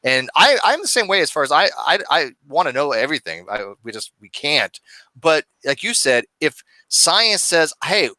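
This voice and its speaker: A man's voice: fairly high pitch, very animated, normal speed, bright, forceful tone, no echo, poor audio. A man in his forties hosting a casual video-call discussion from a dim room at home, talking with many stammered restarts. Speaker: host. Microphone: webcam video call.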